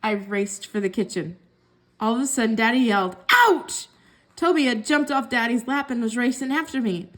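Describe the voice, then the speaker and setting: Female storyteller for a kids' read-aloud, higher-pitched, expressive delivery. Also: brisk. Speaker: story reader.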